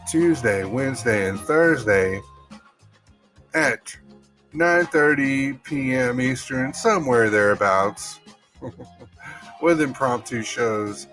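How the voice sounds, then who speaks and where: A man's voice: medium pitch, fairly bright tone. A man hosting a podcast in a casual, folksy way, reading aloud and chatting to listeners. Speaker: podcast host.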